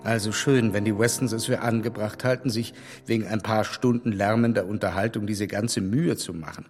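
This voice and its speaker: An actor playing a dry, reluctant, curmudgeonly gentleman in a radio drama, with a husky voice. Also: lowish voice, fairly even intonation, moderate pace, big-room sound, soft background music, natural voice. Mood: grudging. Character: grumbling, dry, reluctant gentleman who dislikes balls.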